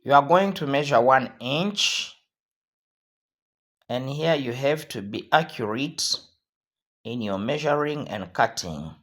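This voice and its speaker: Slow, hoarse man teaching sewing. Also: mid-range voice, lively intonation, fairly bright tone. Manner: slow, repetitive step-by-step instruction. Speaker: sewing tutorial host. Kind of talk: instructional tutorial.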